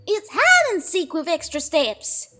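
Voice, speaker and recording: A woman voicing a bossy, lively young filly pony with an emphatic, folksy, commanding manner in a storybook reading. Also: extremely high pitch, unhurried, voice pushed up, heavily acted. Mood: emphatic, playful.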